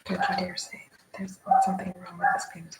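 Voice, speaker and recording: An American woman chatting casually and rambling, asking and answering her own questions as if talking to herself. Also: fairly low pitch. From United States. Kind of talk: rambling casual solo chat while playing cards. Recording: very noisy recording with clicks.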